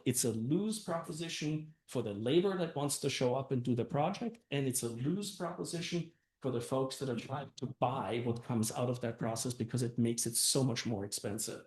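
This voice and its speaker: A man's voice: medium pitch, lively intonation, normal speed, a little mellow. Older male candidate from Austria and Germany, earnest, loose and conversational, in a reverberant room. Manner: earnest, conversational, many fillers and "right?". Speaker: council candidate. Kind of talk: campaign speech at a meeting. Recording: echoey room.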